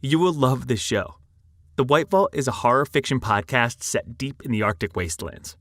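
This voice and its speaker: A man's voice: mid-range voice, expressive, moderate pace, a bit bright, dry recording. A man reading a scripted podcast promo as an announcer, with an enthusiastic delivery.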